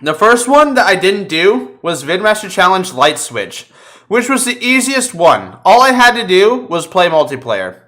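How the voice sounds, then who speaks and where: A man with a fairly high, bright and forceful voice hosts a casual gaming chat. He tells stories in an animated way and swears freely as he goes. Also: moderate pace. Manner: profane, animated storytelling. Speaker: podcast host. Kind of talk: casual chat about video games.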